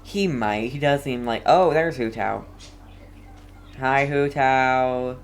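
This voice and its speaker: A woman's voice: very deep voice, slow, a little hiss or hum. A woman streaming a video game with casual, amused reactions, interjecting and echoing phrases.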